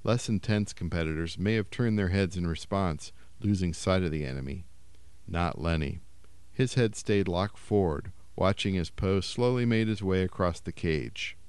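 A man reading a short story aloud in a measured storytelling voice. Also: low-pitched, a little mellow, smooth, no room sound. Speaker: story reader.

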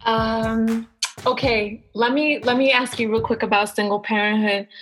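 Higher-pitched woman, informal interviewer. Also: fairly even intonation, faint music behind, low-quality recording. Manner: informal, halting, rephrases questions mid-thought. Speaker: host, interviewer. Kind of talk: casual conversational interviewing.

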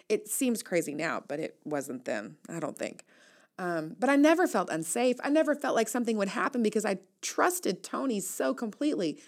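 A woman in her thirties reading a first-person essay aloud as host, candid and reflective, with wry asides. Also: medium pitch. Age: 30s. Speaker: newsletter writer and host reading an audio essay.

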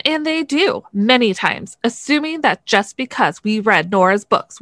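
A woman's voice: fairly high pitch, fairly bright tone, no echo, clean studio sound. Woman acting out an indignant, lecturing female complainer. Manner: emphatic, lecturing, clipped indignation.

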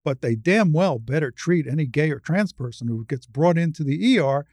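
An older woman speaks as a guest in a spirited discussion. Her voice is low for a woman, recorded close and dry. She explains her points frankly and at some length. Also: lively intonation, fairly quick, darkish tone, no room sound. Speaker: podcast guest, retired surgeon and advocate.

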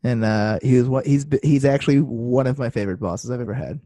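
Jokey podcast dude, mellow voice, swears, super dry mic. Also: male voice, mid-range voice, fast, dry recording. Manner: jokey, excitable, profane. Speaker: podcast co-host. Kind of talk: casual sports chat.

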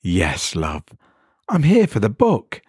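A man's voice: fairly low pitch, huge pitch swings, takes it slowly, a little mellow, slightly rough, no room sound, clean studio sound, exaggerated voice. A man voicing a kindly but insistent elderly woman with a familiar, coaxing manner.

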